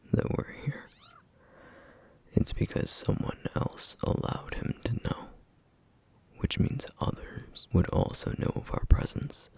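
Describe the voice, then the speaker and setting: A man playing a brooding, protective flame demon in an ASMR roleplay, speaking in a grave, breathy, gravelly near-whisper with deliberate pauses. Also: darkish tone, dry recording, natural voice. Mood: grave, worried. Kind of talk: ASMR roleplay, intimate scripted monologue.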